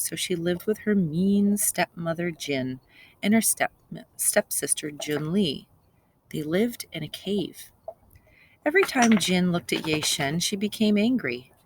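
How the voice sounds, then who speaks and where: A woman's voice: on the low side, a little hiss or hum, poor audio. A woman reading aloud to young children as a teacher, explaining simply and repeating key points. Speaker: second grade teacher.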